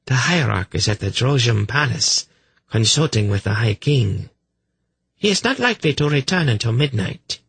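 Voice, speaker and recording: A man voicing a cruel, imperious and suspicious high priestess in a fantasy audiobook, with cold, menacing, sarcastic delivery. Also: very animated, normal speed, gravelly, fairly bright tone, breathy edge, full character voice. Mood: suspicious, threatening. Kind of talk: fantasy audiobook character acting.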